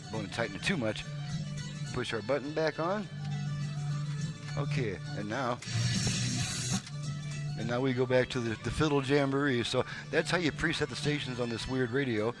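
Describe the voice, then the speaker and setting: A man's voice: medium pitch, normal speed, pauses often, over loud music, poor audio. An American man giving a casual, unscripted demonstration as a repair technician, explaining things step by step in a plain, folksy way.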